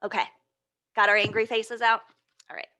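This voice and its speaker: A woman leads a picture-book storytime for small children in a fairly high, very bright voice. She is animated and encouraging. She keeps posing simple questions to her young listeners and answers many of them herself. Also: moderate pace.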